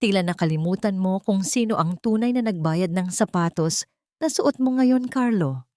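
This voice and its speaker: A woman's voice: mid-range voice, normal speed, mellow, no room sound, studio quality, natural voice. Stern, commanding Filipino woman acted by a woman. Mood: bitter, reproachful.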